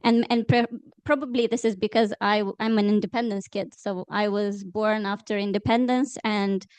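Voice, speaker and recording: A woman, a Romanian speaker speaking as a journalist on a discussion panel, measured and thoughtful. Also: mid-range voice, steady tone, a little mellow, clean tone, dry recording. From Moldova. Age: 30s.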